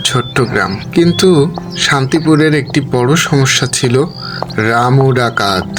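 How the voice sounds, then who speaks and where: A man narrating a cartoon story in a measured, storybook manner. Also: medium pitch, animated, over music.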